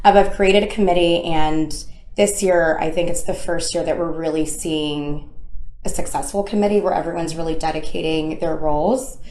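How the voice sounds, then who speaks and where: A woman's voice: deep voice, fairly even intonation, moderate pace, darkish tone. A woman from Texas in her thirties, chatting upbeat as a guest in an interview and laughing often. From San Antonio, Texas. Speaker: guest, club founder. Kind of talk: casual interview chat. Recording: roomy echo.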